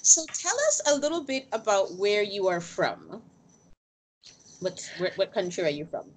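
A woman's voice: medium pitch, big pitch swings, normal speed. A woman hosting a casual conversational interview, speaking emphatically and repeating phrases for weight. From grew up on a small island. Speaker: interviewer, host.

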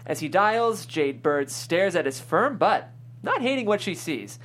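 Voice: mid-range voice, big pitch swings, measured pace, fairly bright tone. A person reading screenplay stage directions aloud as scripted narration at a table read.

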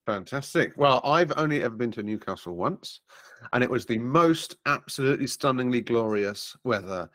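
A man's voice: medium pitch, moderate pace, no room sound. A man hosting a podcast interview, speaking in an upbeat, friendly way. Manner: upbeat, friendly, lively intonation. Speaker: podcast host.

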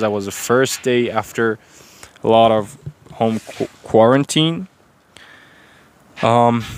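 A young man with a Persian accent talks unscripted into his phone camera, his voice sitting on the low side. He rambles and hesitates, with plenty of fillers and restarts. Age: young adult. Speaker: vlogger.

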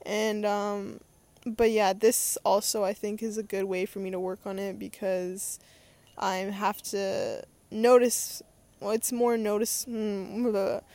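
A woman's voice: medium pitch, darkish tone, no echo. A young adult woman talking in a casual, unscripted monologue as a podcast host, rambling and hesitant as she thinks aloud.

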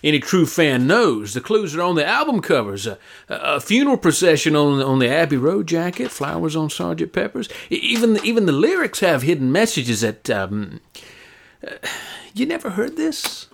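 An actor playing a chatty, jaded veteran late-night DJ in an audio drama, teasing and stammering through casual asides. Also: male voice, a little high, big pitch swings, normal speed, gravelly, full character voice. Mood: conspiratorial. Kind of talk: naturalistic radio drama acting.

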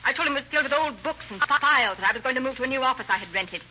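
A woman's voice: high-pitched, animated, very fast, sharp tone, heavily acted. A woman playing a stubborn, defensive murder suspect in a radio crime drama, evasive and insistent. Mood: defiant. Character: defensive, stubborn female murder suspect shielding her lover. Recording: dull, noisy old radio recording.

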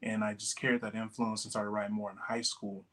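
A young man from Texas in his late twenties speaks as a podcast guest. His voice sits low and moves little in pitch. He talks in a loose, rambling way, full of little fillers. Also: level tone, brisk, a bit bright. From Texas, USA. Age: 20s. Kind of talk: casual interview talk.

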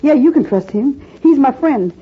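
A woman's voice: high-pitched, animated, moderate pace. An actress playing a protective, motherly older landlady in a 1940s radio drama, on a dull old broadcast recording. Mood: urgent.

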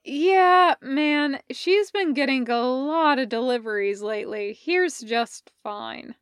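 Lady doing a sleepy chill gamer dude for an audio story, casual, unsure, higher pitched, slow. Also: animated, clean tone, no room sound, studio quality. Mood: tired, casual. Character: bleary, laid-back young man, a sleepy gamer.

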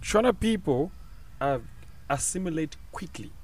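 A man with a Zimbabwean accent talks on a casual podcast, slipping between English and Shona. His pitch is lively and rides up and down as he argues. He sits a bit back from the mic, so his voice sounds slightly distant. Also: unhurried, crowd noise. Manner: animated, interrupting, switching between English and Shona. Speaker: podcast co-host.

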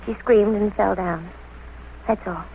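A woman's voice: mid-range voice, fairly even intonation, unhurried, gravelly. An actress playing a childlike, unbothered teenage girl killer in old radio drama, matter-of-fact and girlish. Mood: eerily calm. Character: sweet-faced, eerily calm, childlike teenage girl killer. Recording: tinny, noisy vintage radio recording.

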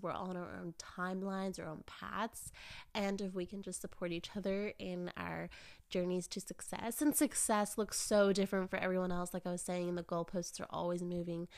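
A woman's voice: on the low side, gravelly, darkish tone. Slightly nasal young woman, chatting casually. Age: early twenties. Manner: informal and effusive, peppered with "like" and "you know". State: getting over a cold, raw throat. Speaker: podcast host. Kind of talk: casual, chatty solo podcast monologue.

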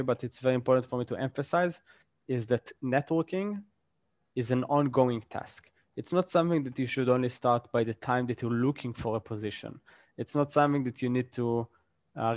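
A man's voice: medium pitch, no room sound. A man, a guest in a casual interview, explains his points with examples and often checks in with "right?".

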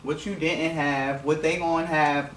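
A man's voice: on the high side, steady tone, unhurried, fairly bright tone. Talkative young man hosting a podcast. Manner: clear-spoken, talkative interviewer. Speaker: podcast host. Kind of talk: casual chat. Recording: roomy, distant mic, audible hiss.

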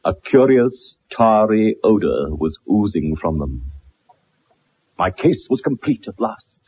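An actor playing a keen, self-assured gentleman detective with precise, formal speech in a 1960s radio drama. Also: lowish voice, sing-song, slow, slight background noise. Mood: measured, narrating. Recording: muffled old radio recording.

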